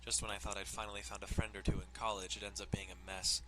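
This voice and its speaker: A man's voice: on the low side, flat delivery, fast talker, background noise. A man narrating a visual novel in a casual, informal way, breaking off into joking, sweary asides. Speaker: let's player reading a visual novel aloud. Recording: loud music under the voice. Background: music.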